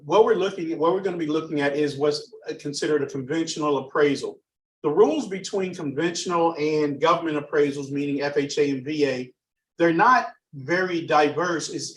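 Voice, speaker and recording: A slightly hoarse, froggy-voiced man giving an underwriting training presentation, halting with many fillers and restarts. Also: on the high side, a little hiss or hum. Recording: echoey room, far from mic.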